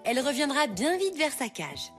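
A woman playing a calm, reassuring mother in a children's cartoon. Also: high voice, moderate pace. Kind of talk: animated children's series dub. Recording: music behind the dialogue.

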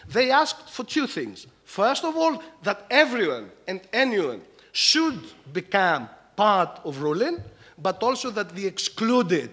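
Older man, high-pitched voice, emphatic lecturing panelist, table mic in a conference hall. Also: a bit bright. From Greece. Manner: emphatic, lecturing, gestures a lot. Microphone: table mic on stand.

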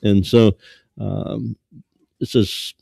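An older man in his sixties preaches to a small church congregation from behind a lectern. His voice is dark and mellow. He talks haltingly, full of fillers and restarts, and the stand mic catches him dry and close. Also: on the low side, level tone, gravelly, husky, no room sound. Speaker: pastor. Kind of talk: sermon.

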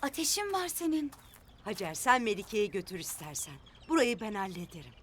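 A woman's voice: mid-range voice, big pitch swings, fairly quick, a little hiss or hum, lightly acted. A woman voicing a caring, practical village mother in a children's cartoon, nurturing and soothing. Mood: concerned, soothing. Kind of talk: animated children's cartoon acting.

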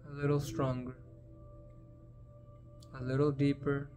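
A man leading a guided meditation in a gentle, unhurried manner, leaving long pauses between phrases. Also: mid-range voice, monotone, soft background music.

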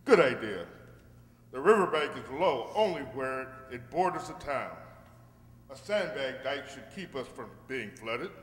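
A man's voice: mid-range voice, expressive, unhurried, lightly acted. A man playing a worried, folksy small-town sheriff in an old-time radio western. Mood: decisive. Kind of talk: old-time radio drama acting, read from script. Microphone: stand microphone. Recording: echoey hall, faint room noise.

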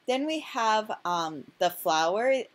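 A woman's voice: medium pitch, expressive, slow pace. A woman casually talking through her home cooking in a chatty, self-deprecating, rambling way, full of fillers. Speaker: vlogger.

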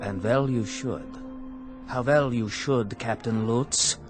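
Radio drama casting: male actor as a measured, menacing ex-prisoner, slow and quiet, lively pitch, music underneath. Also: natural voice. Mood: menacing calm. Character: calm vengeful former male camp prisoner. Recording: radio play with music behind.

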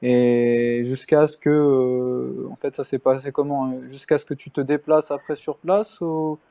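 A man hosting an interview, asking halting questions and giving brief acknowledgments. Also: medium pitch, level tone, lo-fi sound. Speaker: interviewer, host.

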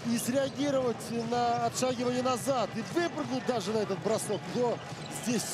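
Halting, high-voiced man analyzing basketball. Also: very noisy. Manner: analytical, halting with many fillers. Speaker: expert co-commentator. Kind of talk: live basketball commentary.

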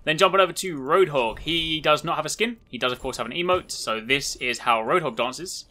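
A man's voice: on the high side, a little fast, pushed and bright. A man giving casual video game commentary as the host of a showcase video.

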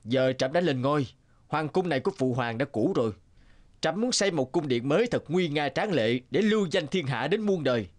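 A man's voice: on the high side, expressive, a little fast, natural voice. An actor playing a pompous, vain king in a cartoon, commanding and grandiose. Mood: imperious.

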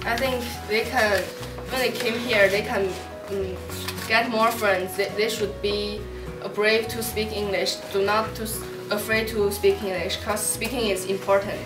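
A teenage student girl from China, speaking haltingly and restarting words in an interview. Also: medium pitch, steady tone. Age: teens. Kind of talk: interview testimony. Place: school classroom, standing. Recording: echoey classroom from far off. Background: background music.

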